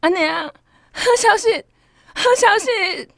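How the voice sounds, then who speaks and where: A woman playing an excitable woman of a devout family in a radio drama, with a Taiwanese accent. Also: very high voice, slow, creaky, no echo, clean studio sound, heavily acted. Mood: excited, relieved.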